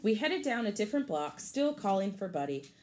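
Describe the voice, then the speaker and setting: A woman's voice: mid-range voice, big pitch swings, fairly quick, a little mellow. A woman in her thirties reading a picture book aloud to young children like a teacher, expressively, with casual explanatory asides. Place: small room at home, indoors. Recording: home recording with clear background noise.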